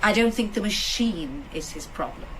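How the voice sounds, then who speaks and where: An actress as a pointed, expressive woman assistant. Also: medium pitch, normal speed, background noise, lightly acted. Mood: dry, pointed. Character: pointed, formal female clinic assistant. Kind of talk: radio drama acting.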